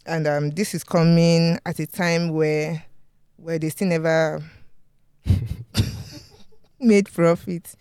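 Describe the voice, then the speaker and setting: A podcast host with a Yoruba-accented Nigerian English accent, chatting casually in a studio and going over news with many ums and pauses. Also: medium pitch, fairly even intonation, a little mellow, clean tone, no echo. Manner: hesitant, many ums, laughs often.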